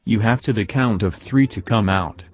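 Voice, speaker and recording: A man playing a powerful, menacing evil villain in an animated gaming roleplay, giving commanding threats. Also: fairly low pitch, moderate pace, soft background music, natural voice. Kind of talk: animated Minecraft kids roleplay acting.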